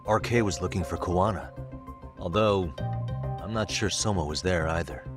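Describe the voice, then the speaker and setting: An actor playing a cool, terse investigator in a video game, talking in a matter-of-fact way. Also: male voice, sits low, moderate pace, over music, natural voice. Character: cool, businesslike male investigator on the hunt. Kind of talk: naturalistic video game cutscene acting.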